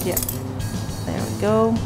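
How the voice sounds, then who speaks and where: A young adult woman hosts a cooking show, calmly walking through the recipe step by step, recorded indoors in a kitchen studio on a lapel mic. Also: slow pace. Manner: relaxed, step-by-step instructional. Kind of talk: cooking demonstration. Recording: studio cooking show with music under the voices. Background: music, clinking pans and cutlery.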